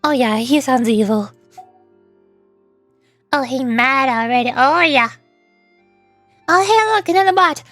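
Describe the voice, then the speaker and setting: A woman's voice: high voice, animated, a little slow, long pauses, a bit bright, dry recording, faint music behind. A woman streamer giving casual, playful, reactive commentary on a game.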